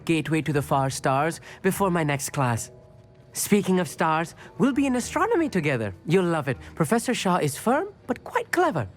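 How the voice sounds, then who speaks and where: A man acting a polite, bookish teenage wizard in a video game, earnest, chatty and slightly awkward. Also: high voice.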